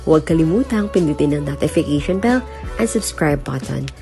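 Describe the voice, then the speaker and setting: A woman's voice: deep voice, animated, fairly quick, warm tone. A woman channel host giving a scripted intro and inviting listeners to subscribe. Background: music.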